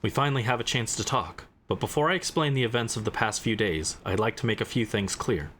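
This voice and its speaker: A man voicing a composed, eloquent investigator and commander in a game, speaking in a measured, formal, deliberate way. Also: lowish voice, fairly bright tone, a little hiss or hum, lightly acted. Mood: calm, commanding.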